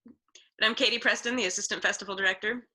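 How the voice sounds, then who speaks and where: Female event presenter, very bright and sharp tone, medium pitch. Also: fairly even intonation, quick pace, low-quality recording. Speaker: assistant festival director. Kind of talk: presenting thanks at an online event.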